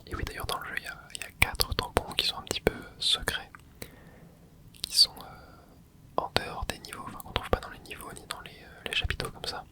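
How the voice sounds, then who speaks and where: A man whispering casual commentary as he plays a video game for an ASMR channel, dropping small interjections and explaining the game to his viewers. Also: a little fast. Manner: soft whispering with little interjections while playing. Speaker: ASMR gaming channel host.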